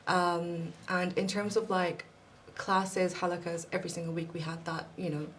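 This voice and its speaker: A woman's voice: fairly low pitch, moderate pace, slight background noise. A woman in her late twenties from Pakistan with a slight mixed Pakistani and American accent, a podcast guest chatting casually and laughing often. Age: late 20s. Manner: relaxed, laughs often, rambling. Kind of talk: casual interview chat.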